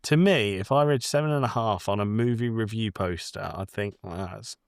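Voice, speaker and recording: Male podcast co-host, lowish pitch, dry close sound; casual, sweary, rambling film chat. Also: moderate pace, gravelly, clear, resonant tone, no echo. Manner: informal, sweary, rambling explanations.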